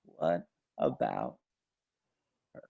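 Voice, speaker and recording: A man playing a quiet, sinister, terse killer in an amateur table read. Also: extremely low pitch, expressive, very slow, vocal fry, breathy edge, muffled recording, heavily acted. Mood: quiet menace.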